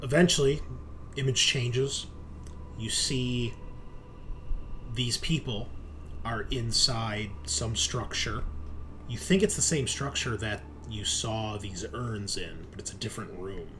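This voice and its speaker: A man improvising narration as game master of a tabletop roleplaying game, in a measured, halting, descriptive way. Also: mid-range voice, fairly bright tone, slight background noise.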